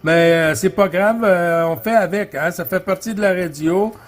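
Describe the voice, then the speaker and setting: A man with a Quebec French accent and a slightly high voice runs a casual CB radio contact. He repeats his call phrases and addresses the other operator in a friendly, familiar way. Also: normal speed. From Quebec, Canada.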